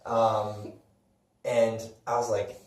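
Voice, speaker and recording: A man's voice: on the low side, little pitch movement, drawn-out words. Echoey, distant man chatting casually. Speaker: podcast co-host. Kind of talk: casual, unscripted pop-culture chat. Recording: echoey room, distant mic, noisy with clicks.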